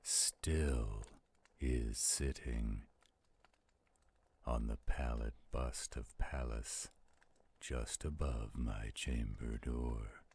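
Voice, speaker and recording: A man voicing a theatrical, flirtatious werewolf storyteller in an audio roleplay, with a gravelly, husky voice. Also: very deep voice, steady tone, darkish tone, heavily acted. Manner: slow, dramatic, playful. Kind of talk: audio roleplay acting with dramatic poem recitation.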